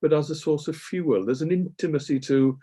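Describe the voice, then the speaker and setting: Mellow-voiced male interviewer. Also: fairly high pitch. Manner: measured, long winding questions with ums. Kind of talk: interview questions.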